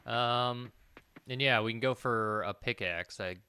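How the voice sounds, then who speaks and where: A man's voice: mid-range voice, unhurried, pushed and bright, smooth. A man in his thirties doing casual gameplay commentary, hesitantly thinking aloud and repeating himself as he mutters. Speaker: game streamer. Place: indoor room, streaming at a computer.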